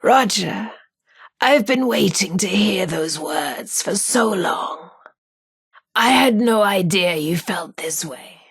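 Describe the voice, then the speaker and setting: An actress with a husky, breathy voice, playing a shy but sassy, lovestruck radio DJ in a video game. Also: female voice, mid-range voice, animated, slow, no room sound, clean studio sound, heavily acted. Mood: tender. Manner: informal, slangy, hesitant about feelings. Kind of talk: video game character dialogue.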